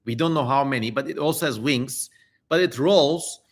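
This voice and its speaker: A man's voice: medium pitch, normal speed. A man hosting a livestream, chatting casually and informally with some swearing as he comments. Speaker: livestream host.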